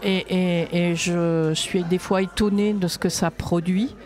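A woman's voice: lowish voice, fairly even intonation, a little slow, darkish tone, soft background music. A woman poet and workshop leader answering interview questions in a reflective, light-hearted way.

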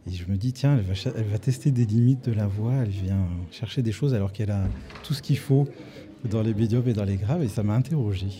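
A male interviewer with a low, very dark and mellow voice. He asks long, thoughtful questions, building them slowly and carefully. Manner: reflective, long elaborate questions. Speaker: radio interviewer.

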